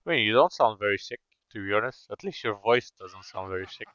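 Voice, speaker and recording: A man's voice: lowish voice, expressive, fast, fairly bright tone, no echo. A man with a Bulgarian accent co-casting an esports match, analytical and hesitant with many fillers. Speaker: esports co-caster. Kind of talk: live game commentary. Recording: slightly dull, lo-fi mic.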